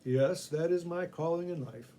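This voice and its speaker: A man's voice: on the high side, moderate pace, dark tone, a little hiss or hum, voice pushed up, natural voice. A man voicing a very orthodox minister in a storybook tale, courteous, formal and solemnly earnest.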